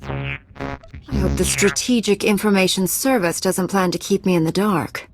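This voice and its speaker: A woman's voice: fairly low pitch, animated, moderate pace, vocal fry. An actress playing a resolute, serious female Jedi Knight in a video game, direct and terse. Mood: serious, wary.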